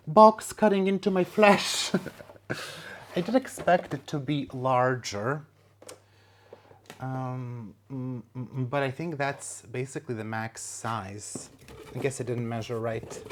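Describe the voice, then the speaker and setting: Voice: mid-range voice, background noise. A plant vlogger talking casually at home, joking at their own expense and cooing over new plants. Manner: chatty, self-mocking, coos at plants. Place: home room, indoors.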